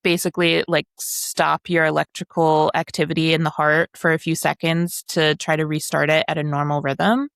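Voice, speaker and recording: A woman's voice: low voice, little pitch movement, moderate pace, creaky, hardly any pauses, a bit bright, no room sound, studio quality. A woman co-hosting a podcast, chatting casually about books with a wry, sometimes sweary manner. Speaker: podcast co-host. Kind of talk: casual book discussion chat.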